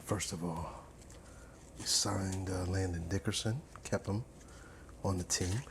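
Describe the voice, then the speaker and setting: A man's voice: deep voice, fairly even intonation, unhurried, noisy background. A man chatting casually in an ASMR cook-along style as he cooks, playfully repeating and drawing out his words. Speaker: host chatting while cooking. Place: home kitchen, indoors.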